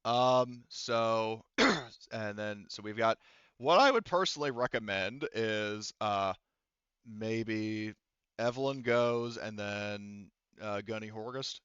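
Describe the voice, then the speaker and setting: A man's voice: lowish voice, slow pace, fairly bright tone, smooth, no room sound, highs cut off. A man running a tabletop role-playing game as game master, casually thinking aloud as he handles the rules.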